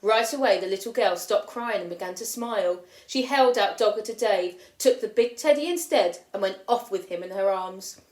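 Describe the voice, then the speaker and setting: A woman teacher reading a children's picture book aloud with expressive storytelling, lifting her voice for the children's lines. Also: mid-range voice, moderate pace. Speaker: primary school teacher. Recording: roomy echo.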